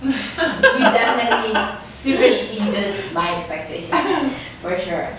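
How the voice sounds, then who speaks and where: A woman's voice: medium pitch, very animated, slow, a bit bright. A woman hosting a casual sit-down interview, speaking in an effusive, complimentary way. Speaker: interviewer and host. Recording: echoey room, distant mic, faint noise.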